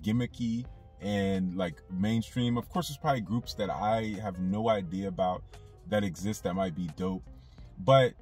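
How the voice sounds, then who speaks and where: A man's voice: lowish voice, slightly rough, recorded from a distance. A young man talking casually to camera from inside a car, rambling with lots of fillers. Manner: loose, rambling, with many fillers. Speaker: YouTube commentator. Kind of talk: casual commentary vlog.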